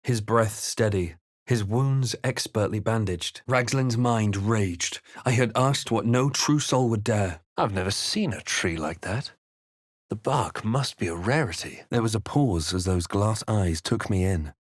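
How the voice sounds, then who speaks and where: Brooding male game hero, grave storyteller delivery, lowish pitch, close dry sound. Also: a little slow, dry recording. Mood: musing. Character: male adventurer hero, composed, brooding, recounting his journey. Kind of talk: video game voice lines, dramatic first-person narration.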